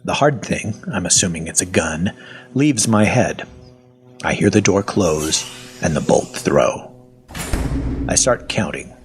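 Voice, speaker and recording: A man's voice: low-pitched, slow pace, long pauses, faint music behind. A man narrating audio fiction in the first person as a sarcastic, foul-mouthed captive survivor who is part zombie. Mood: wry. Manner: sardonic, conversational, expressive.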